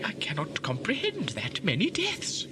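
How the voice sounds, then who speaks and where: A man's voice: high-pitched, huge pitch swings, measured pace, over loud music, exaggerated voice. A man playing a troubled, self-deprecating composer in an audio drama, speaking hesitantly with stammers. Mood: anxious, guilty. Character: troubled male composer, nervy, self-deprecating, haunted by guilt.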